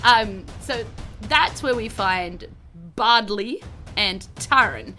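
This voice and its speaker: A woman with a New Zealand accent hosting a podcast as dungeon master in a lively, casual style. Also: mid-range voice, takes it slowly, soft background music. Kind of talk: lively casual podcast intro and game recap.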